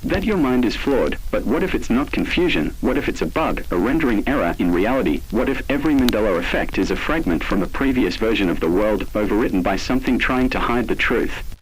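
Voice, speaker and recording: A man delivering scripted analog horror narration in an ominous, solemn and deliberate manner. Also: lowish voice, lively intonation, slight background noise, low-quality recording.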